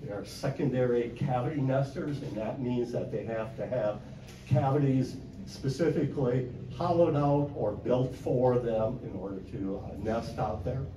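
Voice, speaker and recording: A man's voice: medium pitch, moderate pace, distant mic, noisy background. Older man lecturing in an echoey hall. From Wisconsin. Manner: loud, plain-spoken, many uh fillers. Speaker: conservation speaker. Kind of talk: convention presentation. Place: convention hall. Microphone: handheld mic held away from mouth. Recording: echoey hall, mic from afar.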